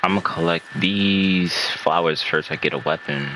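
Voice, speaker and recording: A man's voice: sits low, normal speed, bright, forceful tone, slight background noise, rough recording. A man casually commentating on his gameplay as a streamer, rambling and muttering to himself. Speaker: game streamer. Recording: game music behind the voice. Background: video game music.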